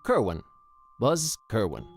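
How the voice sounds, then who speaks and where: Wry young student librarian. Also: male voice, fairly low pitch, huge pitch swings, slow, creaky, breathy edge, faint music behind, exaggerated voice. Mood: wry, chatty. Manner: casual, wry, dismissive. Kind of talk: animated video game voice acting.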